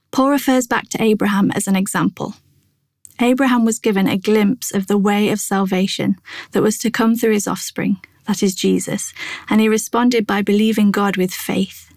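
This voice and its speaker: A woman reading a scripted devotional in a measured, reflective manner. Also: medium pitch, a little mellow, no room sound, clean studio sound. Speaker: devotional reader.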